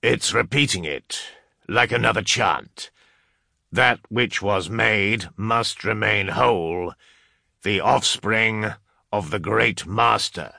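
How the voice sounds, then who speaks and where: Male reader as solemn male translator, sci-fi audiobook. Halting, precise, very slow, bright. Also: low-pitched, animated, vocal fry, no echo, clean studio sound, exaggerated voice. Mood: grave, careful. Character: grave, careful male scholar translating cryptic chants.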